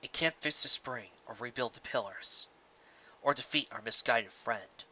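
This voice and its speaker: A man voicing a gentle, sad pony father in a storybook reading, speaking in a hushed, tender, earnest way. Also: medium pitch, normal speed, highs cut off.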